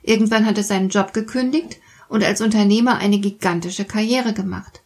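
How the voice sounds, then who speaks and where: Breathy woman hosting a podcast. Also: medium pitch, fairly quick. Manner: explains calmly, speaks directly to the listener. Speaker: podcast host. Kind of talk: scripted podcast monologue.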